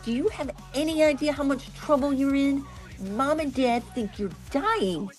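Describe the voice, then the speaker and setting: A woman's voice: high voice, normal speed, soft background music, close to an everyday voice. A woman playing a candid, wary teenage girl in a dramatic script reading. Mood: indignant.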